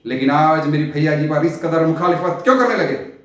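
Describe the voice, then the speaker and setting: An actor playing a world-weary, eccentric sultan in a stage monologue, grand and theatrical, with long dramatic pauses. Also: male voice, on the high side, animated, a bit bright. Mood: suspicious, questioning. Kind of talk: Hindi stage play monologue, poetic Urdu diction. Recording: very echoey, reverberant room.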